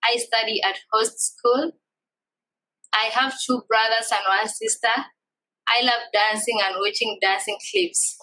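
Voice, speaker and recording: A woman's voice: a little high, fairly even intonation, a little slow, pushed and bright, poor audio. A teenage girl from Kenya giving a plain self-introduction on a video call. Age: teens. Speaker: student panelist.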